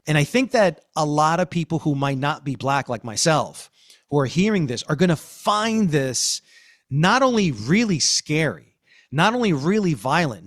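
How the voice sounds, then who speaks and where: A man's voice: mid-range voice, dry recording, studio quality. A man hosting a podcast discussion as a cultural critic, speaking in an effusive, emphatic way with repeated phrasing.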